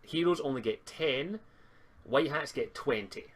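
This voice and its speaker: A man's voice: medium pitch, lively intonation, unhurried, a bit bright. Man hosting a tabletop roleplaying stream. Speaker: game master and stream host. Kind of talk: explaining tabletop game rules to players on a live stream. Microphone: voice call on a live stream.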